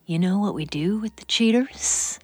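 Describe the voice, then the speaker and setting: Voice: medium pitch, moderate pace, husky, soft background music, natural voice. A performer playing a mocking, menacing underworld card-game boss in an audio drama. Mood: mocking menace.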